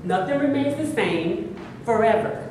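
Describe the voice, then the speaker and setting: Femme narratrice, ton mesuré et solennel. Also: female voice, on the high side, animated, background noise. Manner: measured, solemn address to the audience. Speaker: narrator reading a prologue poem. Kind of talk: staged reading narration. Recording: echoey hall, voice from far off.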